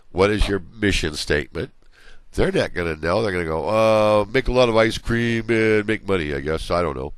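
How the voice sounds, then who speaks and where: Low-voiced man lecturing, voice going hoarse. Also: dry recording. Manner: conversational, explains with everyday examples. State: losing his voice. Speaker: college management instructor. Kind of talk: recorded slide lecture.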